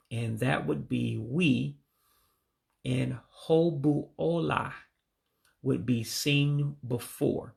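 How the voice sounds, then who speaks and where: A man, a native English speaker, slowly and carefully teaching greeting phrases he is still learning, sounding them out and correcting himself. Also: medium pitch, gravelly. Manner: slow, careful, tentative pronunciation with self-corrections. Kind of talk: self-taught language lesson.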